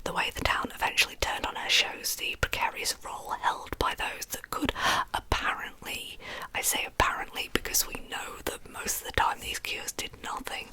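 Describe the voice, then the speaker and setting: Whispering woman, bright and breathy. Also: low-quality recording. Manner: conversational, informal asides. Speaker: ASMR true crime host. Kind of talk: whispered ASMR true crime storytelling.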